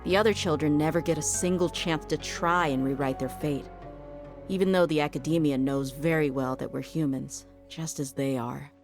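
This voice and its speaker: A tough desert mercenary from a video game, with a low, steady voice. She speaks seriously and firmly, protective and resentful of injustice. Music plays clearly behind her. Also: normal speed. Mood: bitter, grave. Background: game background music.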